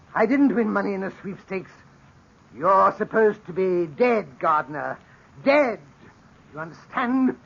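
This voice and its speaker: An actor playing a scheming captain and former stage actor turned murderer in a 1940s radio drama, blustering and cracking into frantic shouts. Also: male voice, gravelly, husky, heavily acted. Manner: blustering, grows frantic and shrill. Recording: dull old radio broadcast recording.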